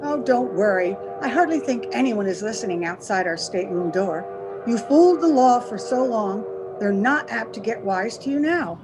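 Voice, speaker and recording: A woman's voice: on the high side, lively intonation, normal speed, music in the background, natural voice. A woman playing a cold, scornful, social-climbing wife with a hard sneer, in 1930s radio melodrama style. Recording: noisy ambience under the voice.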